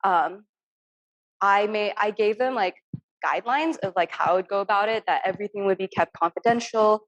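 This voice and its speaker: A woman's voice: mid-range voice, moderate pace, low-quality recording. A young woman from Turkey, an artist, talking casually and earnestly about her work with frequent ums over a video call. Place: home room on a video call.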